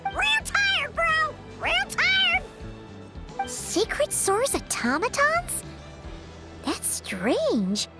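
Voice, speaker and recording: A tiny floating fairy girl with an extremely high, squeaky voice that swings wildly. She is eager and chatty in an anime game style, with loud music behind her. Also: very animated, drawn-out words, pauses often, exaggerated voice. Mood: excited, chatty. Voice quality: squeaky, childlike. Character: small excitable floating fairy companion girl.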